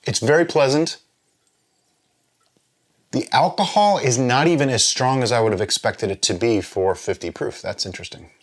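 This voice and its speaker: A man hosting a drinks review vlog, chatting casually and explaining as he muses aloud, with pauses and little check-ins. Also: medium pitch. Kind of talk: casual chat, tasting review.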